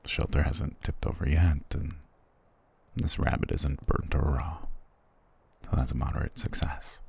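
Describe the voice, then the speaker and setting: A man playing a cruel, proud, weary soldier prince in an ASMR fantasy roleplay, curt and condescending, with a gravelly, husky voice. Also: a bass voice, steady tone, a little slow, a little mellow, heavily acted. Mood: weary, dry. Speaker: voice actor in audio roleplay.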